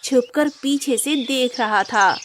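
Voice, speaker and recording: Slightly high-voiced woman narrating a story. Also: slow pace, a little hiss or hum.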